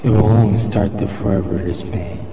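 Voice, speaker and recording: A man reciting a poem in a solemn, measured, declamatory way. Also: fairly even intonation, crowd noise. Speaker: poetry reader. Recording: muffled lo-fi with loud background rumble.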